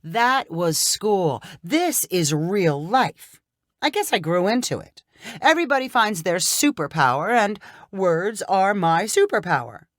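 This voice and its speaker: A woman's voice: medium pitch, very animated, unhurried, no room sound, clean studio sound. A woman voicing a chatty, self-promoting would-be influencer in a breezy, self-assured story-reading voice.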